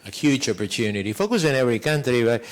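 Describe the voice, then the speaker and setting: A man with a non-native English accent moderating a panel, rambling and animated, turning to the audience with questions. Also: medium pitch, quick pace. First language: not English. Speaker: moderator, professor.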